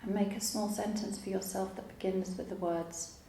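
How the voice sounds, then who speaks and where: Woman doing slow soothing guided relaxation, warm mellow tone, roomy sound w a bit of background noise. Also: mid-range voice. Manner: calm, soothing, unhurried with long pauses. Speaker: yoga nidra teacher.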